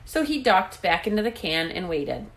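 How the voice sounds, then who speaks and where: Female storybook reader, slightly low register, animated intonation, expressive children's narration. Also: moderate pace. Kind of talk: expressive read-aloud narration of a children's book.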